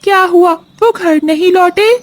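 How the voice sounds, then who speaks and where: A woman voicing a small, talkative parrot in a children's cartoon, with a squeaky, earnest delivery. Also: unhurried. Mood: concerned. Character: small talkative parrot bringing grave news. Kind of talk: Hindi children's cartoon voice acting.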